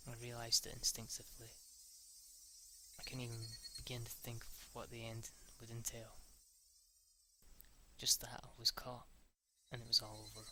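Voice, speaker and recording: A man's voice: medium pitch, noisy background. A young man reading a visual novel aloud, often stumbling and restarting his lines. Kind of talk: amateur read-through narration.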